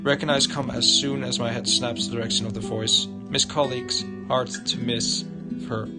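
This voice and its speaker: A man's voice: mid-range voice, fairly even intonation, moderate pace. A male livestreamer reading visual novel narration aloud in an informal way, sometimes stumbling over the words. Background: loud guitar music.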